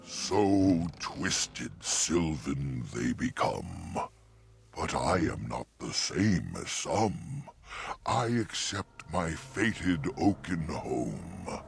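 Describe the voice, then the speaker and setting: An actor playing an ancient, courteous talking oak in a fantasy game, speaking archaic rhymed verse in a grandiose, gravelly voice. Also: male voice, extremely low pitch, very animated, very slow, slight background noise, exaggerated voice. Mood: wistful, solemn.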